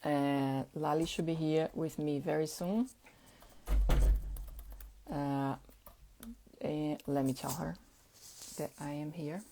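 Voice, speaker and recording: A woman whose first language is Portuguese, hosting a casual live-stream chat at an unhurried pace, with frequent fillers. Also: deep voice, steady tone, gravelly, background noise. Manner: unhurried, chatty, many fillers. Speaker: host.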